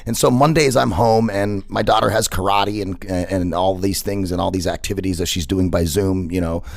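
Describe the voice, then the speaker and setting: A man's voice: low voice, steady tone, normal speed, gravelly, no room sound. A man, hosting a radio interview show in casual chat, rambling with many fillers and repeated words. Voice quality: big voice. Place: radio studio.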